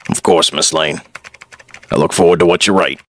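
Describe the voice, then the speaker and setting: A man playing a no-nonsense newspaper editor in an audio drama, speaking with brisk authority. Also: deep voice, fairly even intonation, a bit bright. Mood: commanding. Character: commanding, no-nonsense newspaper editor, male boss.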